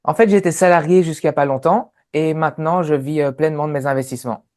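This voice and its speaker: Man with a Sicilian accent, mellow voice. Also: fairly high pitch, steady tone, fast. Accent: Sicilian Italian. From Sicily, Italy. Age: 30s. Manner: many hesitant fillers. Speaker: interview guest. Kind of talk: relaxed personal story.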